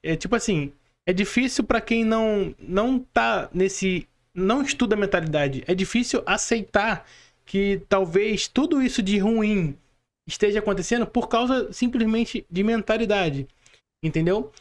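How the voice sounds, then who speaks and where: Male podcast host, Brazilian accent, notably high pitch, casual interviewer who haltingly rephrases his points. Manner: halting, rephrases and seeks agreement.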